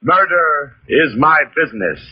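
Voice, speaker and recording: An actor playing an ominous narrator of 1940s radio mystery tales, speaking theatrically with portentous, dramatic pauses. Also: male voice, fairly high pitch, lively intonation, very noisy. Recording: dull old 1940s radio recording, loud music.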